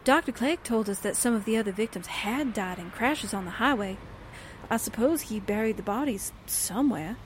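A woman's voice: on the high side, animated, moderate pace, slight background noise, close to an everyday voice. A woman playing a spirited, plain-spoken newspaperwoman sidekick in old-time radio drama style, folksy and exclamatory. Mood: frank.

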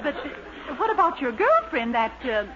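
A woman's voice: sits high, very animated, normal speed, crowd noise. A woman playing a gushing, man-crazy older spinster in a 1940s radio comedy, squealing and breathless. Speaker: neighbor character in a radio sitcom. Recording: dull old radio broadcast with loud hiss.

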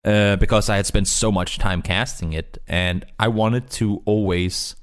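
A man who is not a native English speaker, talking casually and in a rambling, animated way as a guest caster in an interview. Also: lowish voice, measured pace, smooth. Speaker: esports caster being interviewed.

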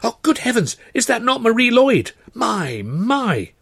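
A man voicing an excitable upper-class gentleman, gushing and effusive, with old-fashioned genteel phrasing. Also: medium pitch, unhurried, creaky, breathy edge, pitched above the performer's own voice, full character voice. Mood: starstruck, thrilled.

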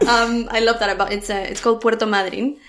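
A guest from Argentina who works as an actor, talking casually with lots of fillers and frequent laughter. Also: female voice, on the high side, little pitch movement, quick pace, fairly bright tone. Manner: chatty, laughs often, rambling with fillers. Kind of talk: casual chat.

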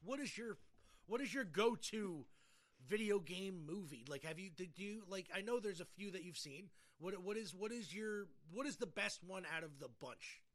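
A man's voice: high voice, lively intonation, moderate pace. A man hosting a casual podcast chat in a rambling, enthusiastic, sometimes profane way. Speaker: podcast host.

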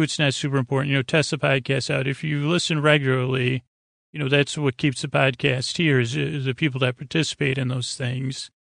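An American man hosting a sleep podcast, rambling in a low-key, halting way and trailing off mid-thought. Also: vocal fry, a bit bright, dry recording, clean studio sound. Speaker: podcast host.

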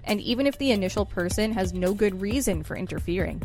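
A woman's voice: medium pitch, lively intonation, fast, darkish tone, soft background music. A woman co-hosting a podcast, reading articles aloud and commenting informally. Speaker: podcast co-host.

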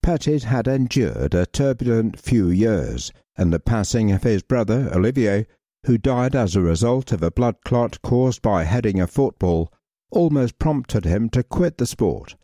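Mellow-voiced man narrating football history. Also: fairly low pitch, big pitch swings, unhurried, no room sound, clean studio sound. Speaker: reader.

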